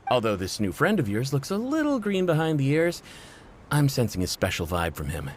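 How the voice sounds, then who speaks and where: A man acting a friendly, rough mercenary in a video game, with a casual, joking manner. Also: mid-range voice, wildly swooping pitch, a little fast, a little hiss or hum. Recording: music behind the voice.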